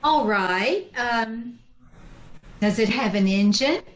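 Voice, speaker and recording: A woman's voice: on the high side, lively intonation, takes it slowly. A woman playing a gullible, easily smitten schoolteacher in an old-time radio comedy, stammering and flustered, with dry wisecracks. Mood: comic, distracted. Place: video call reading from a room. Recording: echoey room, clicks, background noise.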